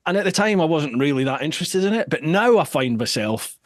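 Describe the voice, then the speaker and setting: A Scottish man in his forties hosting a podcast, telling stories in a casual, self-deprecating way with animated asides. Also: a little high, a little fast, dry recording, clean studio sound. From Scotland. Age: 40s. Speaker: podcast host. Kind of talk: casual chat.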